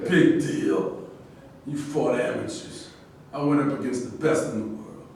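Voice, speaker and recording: A man's voice: medium pitch, unhurried, vocal fry, a little mellow. A proud, scornful boxing champion played by a man speaks out with conviction. The staged reading is heard from far off in a very echoey, slightly noisy room. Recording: distant, very echoey room with noise.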